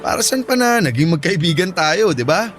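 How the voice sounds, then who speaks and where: Guy doing caring filipino sailor buddy in a drama, quite high voice, easygoing, light music behind. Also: big pitch swings, a little fast, a bit bright. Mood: generous, easygoing. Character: caring, generous male Filipino shipmate and buddy. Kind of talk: Filipino audio drama acting.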